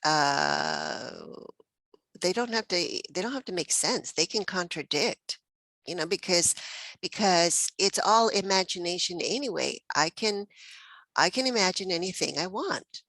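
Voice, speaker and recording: Slow, bright-voiced woman leading a study group. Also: on the low side, sing-song, no room sound, low-quality recording. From Mexico. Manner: reflective, many fillers and restarts, laughs often. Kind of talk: spiritual text study discussion.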